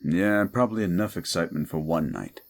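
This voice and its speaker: A man playing a gruff, wisecracking, unflappable demon paranormal detective in a roleplay audio drama, with a laconic, dry delivery. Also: low-pitched, a little fast. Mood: dry, curious.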